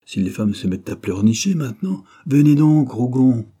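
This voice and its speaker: A man's voice: fairly low pitch, moderate pace, mellow, slightly rough. A man voicing a brusque, impatient militia commander in a storybook, blustering with exasperation. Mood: impatient growling.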